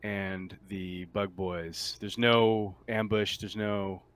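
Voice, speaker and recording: A man running a casual tabletop roleplaying game as game master, explaining in a halting way with repeats and rephrasing. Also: fairly low pitch, little pitch movement, a bit bright, no echo.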